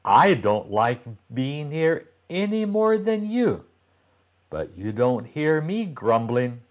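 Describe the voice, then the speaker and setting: An older man reads the part of an exasperated storybook child. The kid chides in a slightly raised pitch, with slow, deliberate wording. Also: sing-song. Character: exasperated child scolding a grumbling companion.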